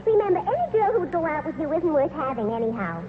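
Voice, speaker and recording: A woman's voice: high-pitched, fast. An actress playing a blunt, man-hungry tavern owner's daughter in a 1940s radio sitcom, tossing out backhanded insults. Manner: tactless, backhanded deadpan insults. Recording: scratchy narrow-band 1940s radio, loud hiss.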